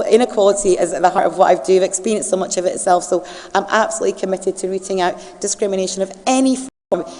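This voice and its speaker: A woman's voice: medium pitch, warm tone, in a large hall. A woman with a Scottish accent gives an earnest campaign speech as a parliamentary candidate, with many fillers and restarts. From Scotland.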